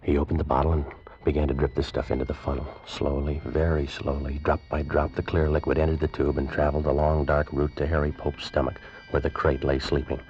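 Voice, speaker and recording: A man narrating a tense story in the first person in 1940s radio drama style, slow and suspenseful. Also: very deep voice, level tone, a little mellow, slight background noise. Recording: dull old radio recording with music.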